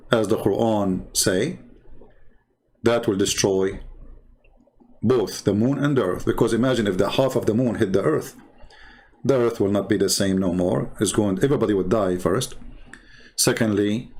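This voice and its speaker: A man speaking English as a second language with a non-native accent, giving improvised commentary as a host, haltingly and repeating key words for emphasis. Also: fairly low pitch, a little mellow. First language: not English. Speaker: host and religious debater. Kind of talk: improvised commentary over visuals.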